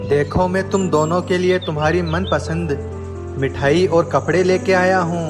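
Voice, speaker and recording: A doting cartoon pigeon father, acted by a man, gentle in manner. His voice sits fairly high, with clear music behind it. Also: fairly even intonation, moderate pace, big-room sound, lightly acted. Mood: affectionate. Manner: affectionate. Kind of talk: Hindi cartoon story dialogue.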